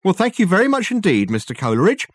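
A man's voice: high-pitched, huge pitch swings, quick pace, no echo, voice pushed up, full character voice. A man voicing an effusive, self-satisfied male detective who talks in flowery, rambling sentences.

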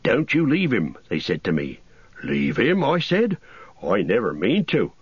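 A man putting on a loyal hobbit servant who talks plainly and won't be parted from his master. The voice is husky, with lively turns, on a dry, dull recording. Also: low-pitched, moderate pace, no room sound, exaggerated voice. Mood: determined. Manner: plain-spoken, devoted. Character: loyal, stubborn male hobbit servant Sam.

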